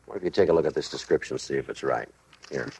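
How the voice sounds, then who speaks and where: An American actor playing a terse, businesslike police detective who narrates and questions in clipped sentences in a 1950s radio crime drama. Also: male voice, very deep voice, quick pace, gravelly, husky, heavily acted. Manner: clipped, deadpan, matter-of-fact. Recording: old radio recording, faint noise.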